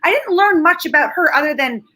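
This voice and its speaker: Bright-voiced, animated woman film critic. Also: high voice, fairly quick. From Canada. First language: Ukrainian. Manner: animated, candid, opinionated. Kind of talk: casual film review chat.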